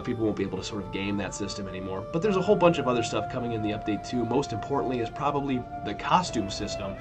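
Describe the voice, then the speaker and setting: A man in his thirties hosting a weekly gaming news show in a relaxed, rambling way, correcting himself as he goes while still sniffly from a cold. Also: lowish voice. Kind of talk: casual news roundup.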